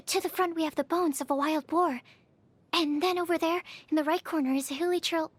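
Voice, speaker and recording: A woman's voice: extremely high pitch, fairly even intonation, a little fast, no echo. A woman voicing a shy, bookish young female alchemist in a video game, speaking in a hesitant, polite and earnest way. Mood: earnest, reassuring. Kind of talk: English video game character voice acting.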